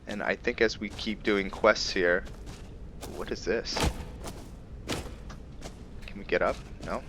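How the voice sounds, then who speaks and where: A man's voice: lowish voice, fairly even intonation, takes it slowly, noisy background. A man hosting a gaming video, giving casual commentary and role-play remarks as he plays.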